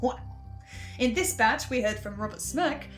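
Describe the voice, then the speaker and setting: Woman as halting archivist recording notes, higher female voice, music underneath, stammering audio drama delivery. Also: expressive. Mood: musing, chatty. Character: hesitant, hungry marked archivist recording a podcast reaction.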